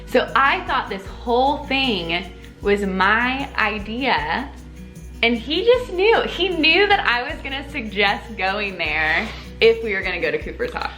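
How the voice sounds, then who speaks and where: A young woman telling a personal story in a casual chat with her husband, bubbly and giggly, with lively jumps in pitch. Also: unhurried, soft background music. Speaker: vlogger co-host.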